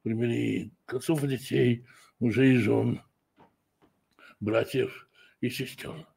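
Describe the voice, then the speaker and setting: Gravelly-voiced man giving a slow sermon. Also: medium pitch, steady tone, a little mellow. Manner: slow, measured delivery with frequent hesitations and self-corrections. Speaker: preacher and Bible teacher. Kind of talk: prayer, then a Torah study lesson.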